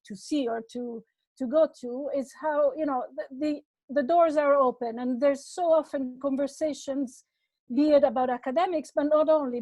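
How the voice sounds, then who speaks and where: A woman's voice: high-pitched, no room sound, low-quality recording. A middle-aged woman from Italy with an Italian accent, a professor speaking earnestly on a video-call webinar panel, often restarting her sentences. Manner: warm, earnest, with many restarts.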